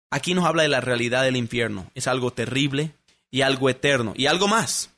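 A male pastor delivering a sermon with a bright, slightly high voice. He preaches emphatically, stretching out key words for weight and then pressing on. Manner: emphatic preaching with slow stressed phrases.

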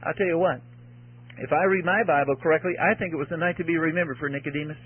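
A man's voice: on the high side, fairly even intonation, fast, breathy edge, dry recording. A man preaching an evangelistic sermon to an audience, earnest and exhorting, repeating phrases for emphasis, in a muffled lo-fi recording.

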